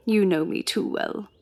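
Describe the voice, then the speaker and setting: Woman acting a wry, creaky-voiced British lady detective. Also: medium pitch, a little mellow, dry recording. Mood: defiant, exasperated. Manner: wry, chatty, formal period phrasing. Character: undercover Edwardian police agent, witty, stubborn wife and mother. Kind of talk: radio drama acting and first-person narration.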